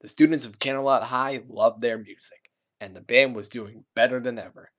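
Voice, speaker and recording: Man, mid-pitched voice, amateur fiction reader, scripted story narration. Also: fairly even intonation, normal speed, fairly bright tone.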